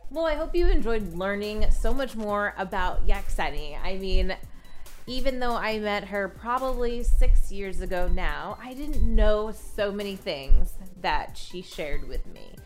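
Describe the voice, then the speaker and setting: A woman's voice: mid-range voice, lively intonation, a little slow, soft background music. A woman host giving enthusiastic closing remarks after an interview.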